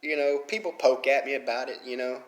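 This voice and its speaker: A man's voice: medium pitch, brisk, reverberant. A man with a strong Southern Alabama accent, talking in a casual rambling monologue, full of "you know" fillers and getting fired up. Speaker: mobile game player.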